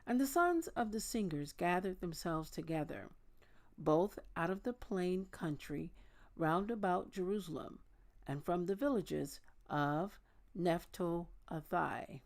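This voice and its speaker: Slow-paced, lower-pitched woman reading scripture. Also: lively intonation, a little mellow. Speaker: host of a daily Bible reading. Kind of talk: reading scripture aloud with casual asides.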